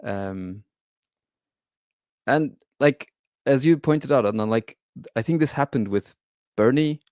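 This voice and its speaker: A man's voice: mid-range voice, takes it slowly, a little mellow, no echo, clean studio sound. A man from Turkey, a guest on a livestream panel, speaking casually with many fillers.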